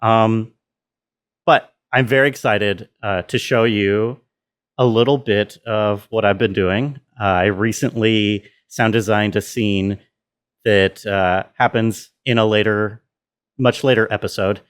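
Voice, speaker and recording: A man talks through his sound design work in a casual, easygoing way. His voice sits a little low, and he takes his time, speaking very slowly and informally. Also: fairly even intonation, clear, resonant tone. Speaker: sound designer of an audio drama. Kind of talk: casual behind-the-scenes walkthrough. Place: indoor room with air conditioner running. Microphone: self-recording.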